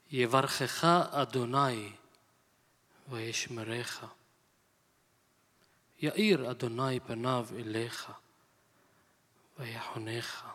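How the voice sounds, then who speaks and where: A male missionary whose first language is Arabic, praying a benediction slowly and solemnly at a medium pitch. His voice rings out with a long reverb in a large hall. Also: fairly bright tone. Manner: slow, solemn, measured. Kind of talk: slow prayerful benediction.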